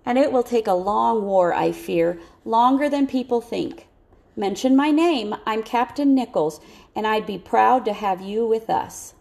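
A woman voicing a kind, courteous but firm male cavalry officer, speaking in a measured, reassuring way. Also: fairly high pitch, vocal fry, a little mellow.

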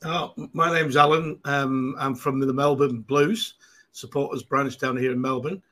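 A man in his sixties with a broad Mancunian accent and a husky, breathy edge to his voice. He rambles through casual chat, stopping and restarting often with plenty of ums. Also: mid-range voice, steady tone, a little slow, bright, forceful tone. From Manchester, England. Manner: rambling, with many fillers and restarts. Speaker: guest.

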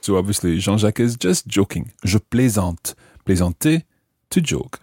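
A man hosts a language lesson in a low, very mellow voice with a husky grain. He explains grammar methodically, enunciating each phrase and translating it. The sound is dry and intimate. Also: sing-song, normal speed, no room sound. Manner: didactic, carefully enunciates and translates. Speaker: language teacher, podcast host.

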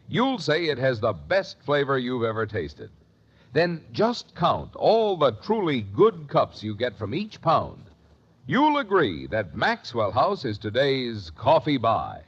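A dull vintage radio recording of an American male announcer. He sells with hearty, scripted polish, his husky voice swooping widely in pitch. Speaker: commercial announcer.

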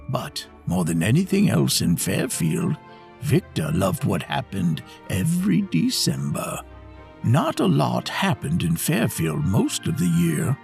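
Male narrator for children's audio story: hugely animated, dramatic, mid-pitched with some fry.

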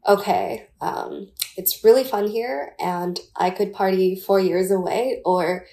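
A woman's voice: medium pitch, steady tone, takes it slowly, dark tone. A woman in her twenties from Taiwan, a podcast guest chatting casually with many "like" and "um" fillers. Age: 20s.

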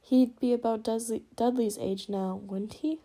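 A woman's voice: fairly high pitch, steady tone, unhurried, warm tone. A woman doing a nervous fussy middle-aged man. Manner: hesitant, mumbling.

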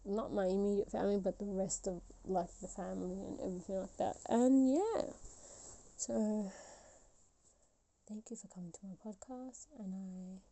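A woman's voice: medium pitch, fairly even intonation, moderate pace, creaky, dark tone, rough recording. A woman of about twenty hosting her own podcast, talking reflectively and rambling with many fillers and restarts. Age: 20s. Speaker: podcast host. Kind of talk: solo reflective podcast talk.

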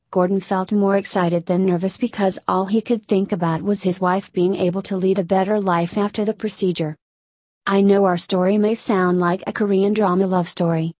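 A woman narrator reads a scripted feature story in a measured way. Her voice is mellow and relaxed, sitting at a middle pitch, and the sound is very dry with no room around it. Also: dry recording.

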